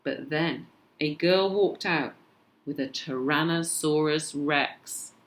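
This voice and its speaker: Woman, British accent, lower-pitched voice, expressive storybook narration, read-aloud. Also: takes it slowly. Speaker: storybook reader.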